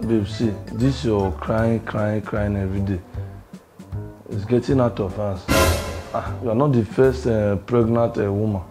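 A man's voice: on the low side, fairly even intonation, measured pace, over music, close to an everyday voice. A man with a Nigerian accent playing a long-suffering, placating husband in a comedy skit, hesitant and apologetic. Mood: weary, reasoning. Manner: hesitant, apologetic, repeating himself.

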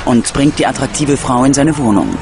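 A man narrating a scripted true-crime documentary in a dramatic, measured delivery. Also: mid-range voice, big pitch swings, creaky. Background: background music.

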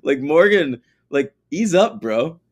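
A man's voice: on the high side, animated, slow, slightly rough. A man co-hosting a podcast, talking bluntly and swearing freely in a casual, teasing recap chat. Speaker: podcast co-host.

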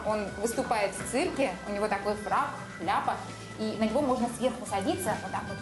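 A woman's voice: mid-range voice, a little fast. A woman, presenting a home makeover show and chatting with guests. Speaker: TV host. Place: indoors in a furnished flat room and hallway. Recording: roomy indoor sound with background music.

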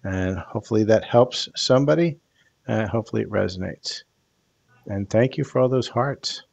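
An older man hosting a live card reading over a headset, speaking in a calm, soothing, unhurried way. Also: fairly low pitch, vocal fry, dull audio. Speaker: tarot card reader.

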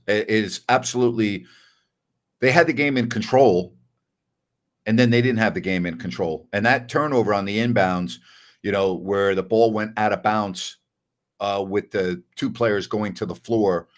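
An older man with a somewhat low voice hosts a postgame basketball chat from his home office over a video call. He breaks down the game's key moments with emphatic disbelief. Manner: emphatic, incredulous about the game. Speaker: host. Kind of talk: casual postgame sports chat.

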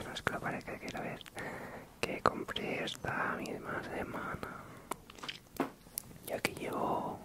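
A man with an accent from Spain whispering an ASMR guided meditation in a soft, soothing, drawn-out way. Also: poor audio. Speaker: ASMR channel host.

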